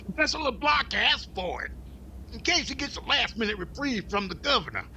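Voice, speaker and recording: A man playing a wry, dutiful valet in a 1940s-style radio comedy, delivering deadpan wisecracks. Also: very high voice, brisk, heavy background noise, exaggerated voice. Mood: teasing, dutiful. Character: wry, unflappable male valet, dutiful but teasing.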